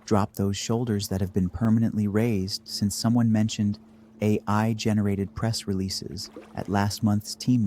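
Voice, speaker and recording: A man narrating a scripted guided meditation in a measured, dry deadpan manner. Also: fairly low pitch, a little hiss or hum.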